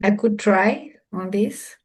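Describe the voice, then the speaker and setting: A woman with a Romanian accent, a non-native English speaker, answering questions on a panel in halting speech with many repeated words. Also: mid-range voice. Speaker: remote viewer panelist. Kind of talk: casual Q&A discussion.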